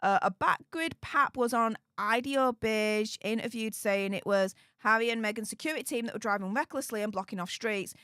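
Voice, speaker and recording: A woman's voice: fairly high pitch, moderate pace, few pauses, sharp tone, clean tone, no echo, clean studio sound. A woman with a Yorkshire accent, hosting a casual livestream and chatting as she reads out viewer comments. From Yorkshire, England. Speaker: YouTube host reading live chat.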